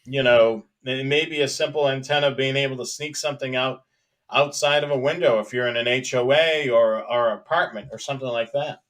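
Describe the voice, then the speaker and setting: A man with a mid-pitched voice co-hosts a hobby live stream. He chats casually and ramblingly, hesitating and circling back over his phrases. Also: fairly even intonation, a little fast, a bit bright. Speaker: co-host of a live hobby show about police scanners.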